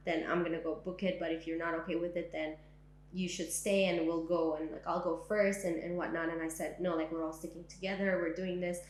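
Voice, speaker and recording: A woman's voice: lowish voice, roomy sound, low-quality recording. A young woman from Syria in a living room, recalling her past in an oral history interview, speaking reflectively with many "like"s and "um"s. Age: young adult. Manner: reflective, frequent "like" and "um".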